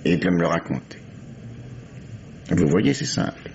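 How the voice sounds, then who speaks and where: Deep-voiced, probing police commissioner. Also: male voice, very animated, vocal fry, a little mellow, heavily acted. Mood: coaxing, patient. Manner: coaxing, deliberate questioning. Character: male police commissioner, patient, probing, authoritative. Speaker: radio actor. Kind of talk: old French radio mystery drama acting. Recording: muffled, noisy old radio recording.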